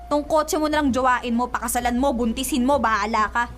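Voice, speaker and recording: A woman's voice: fairly high pitch, steady tone, a little fast, a bit bright, soft background music, natural voice. A woman with a Filipino accent playing a hot-tempered, sarcastic young girlfriend in a radio drama, nagging emphatically. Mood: fed up.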